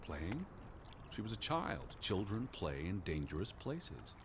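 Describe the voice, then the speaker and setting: A reserved American naturalist of the 1920s in a story game. His voice is low and swings widely in pitch, formal and precise yet anxious and exasperated. Clear ambient noise sits behind him. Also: sing-song, measured pace. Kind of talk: video game voice acting.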